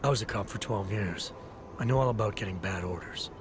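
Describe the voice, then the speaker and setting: Man voicing a low, quick, terse ex-cop agent, heavy music behind. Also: close to an everyday voice. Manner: terse, steady, understated. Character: calm, serious male ex-cop Interpol agent. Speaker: game protagonist. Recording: game cutscene with loud music.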